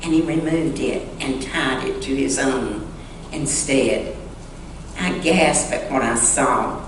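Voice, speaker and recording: A woman reading a story aloud dramatically and earnestly, with deliberate pauses, in a church sanctuary. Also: extremely low pitch. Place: large indoor church sanctuary. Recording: echoey church sanctuary, distant mic, faint noise.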